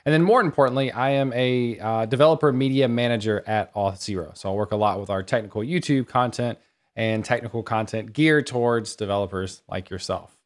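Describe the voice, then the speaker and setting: Male developer educator, medium-pitched voice, enthusiastic, conversational, explanatory conference presentation. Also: fairly quick.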